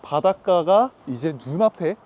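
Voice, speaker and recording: A man's voice: high voice, lively intonation, measured pace, darkish tone, dull audio. A man in his twenties who owns a lodging business, explaining his work earnestly in a casual outdoor interview, often posing rhetorical questions. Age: 20s.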